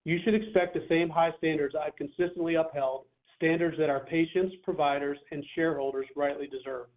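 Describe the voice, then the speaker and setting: A man speaking as chief executive, giving formal, measured scripted remarks on an earnings call. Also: fairly high pitch, steady tone. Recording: thin phone-line conference audio.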